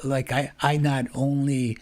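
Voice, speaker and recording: An older man talking in a loose, rambling way as a podcast guest, his stories full of restarts and small laughs. His voice sits at an everyday pitch for a man, neither deep nor high. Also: flat delivery, measured pace, a little mellow. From Canada. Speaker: podcast guest, retired teacher. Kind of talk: casual storytelling interview.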